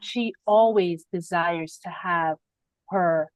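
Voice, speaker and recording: A woman's voice: on the low side, takes it slowly, no echo. Reflective woman hosting a spiritual podcast. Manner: warm, reflective, laughs often between thoughts. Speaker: podcast host.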